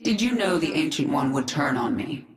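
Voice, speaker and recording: A woman's voice: deep voice, expressive, normal speed, roomy sound, heavy background noise. A woman playing a determined, commanding alien warrior queen in a video game, speaking in terse lines. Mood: accusing. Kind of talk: video game cutscene acting.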